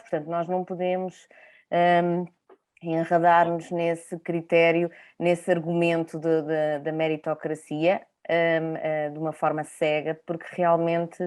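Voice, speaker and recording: Low-pitched woman, halting Portuguese delivery. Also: darkish tone. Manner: halting, searching for words, checks with tag questions. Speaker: panel speaker. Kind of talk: reflective panel discussion, spontaneous.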